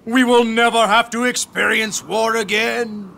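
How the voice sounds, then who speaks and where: An actor playing a grandiose rebel cult leader in a war video game, proclaiming theatrically in drawn-out shouts. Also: male voice, very high voice, flat delivery, gravelly, heavily acted. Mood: exultant.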